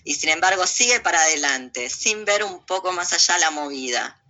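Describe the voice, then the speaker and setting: A woman's voice: on the low side, animated, normal speed, pushed and bright. A woman with a Buenos Aires accent giving emphatic, sardonic, digressive political opinions as an interview guest on a muffled recording. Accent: Buenos Aires Argentine Spanish. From Argentina.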